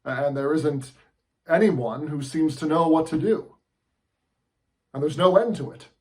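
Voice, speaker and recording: A man's voice: a little high, a little slow, darkish tone, natural voice. A man acting a fed-up TV newsman in a dramatic film monologue, speaking with urgent, impassioned oratory. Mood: grave, weary. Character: fed-up male TV newsman delivering an impassioned rant.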